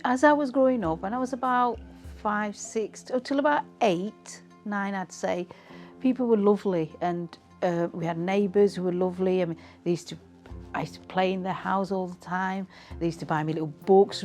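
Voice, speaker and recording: A woman's voice: mid-range voice, moderate pace, darkish tone, soft background music. A woman, reminiscing in a conversational, reflective way as she is interviewed. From England.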